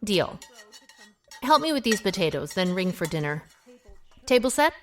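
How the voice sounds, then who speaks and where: Woman as dry, guarded servant, expressive pitch. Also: moderate pace, close to an everyday voice. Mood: brisk, scolding. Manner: dry, terse. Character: guarded, dry, no-nonsense woman working as hired kitchen help. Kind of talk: audio drama acting.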